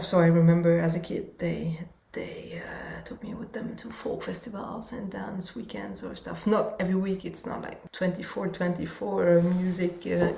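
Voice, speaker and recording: Gravelly woman, halting. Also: on the low side, a little mellow. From Germany. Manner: halting, searching for words, frequent restarts. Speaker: guest musician and teacher. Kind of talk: casual interview conversation. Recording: echoey room.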